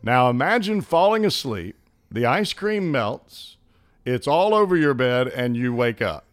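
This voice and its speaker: A man's voice: mid-range voice, sing-song, a little slow. Folksy Southern man with a country accent. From Southern United States. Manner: folksy, chuckling, homespun sayings. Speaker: guest, former ballplayer. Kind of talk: casual interview storytelling.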